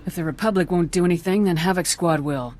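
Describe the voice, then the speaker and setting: A woman's voice: fairly low pitch, fast talker, a little mellow, natural voice. Woman as a firm military squad commander. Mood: determined. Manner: firm, direct. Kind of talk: video game dialogue acting.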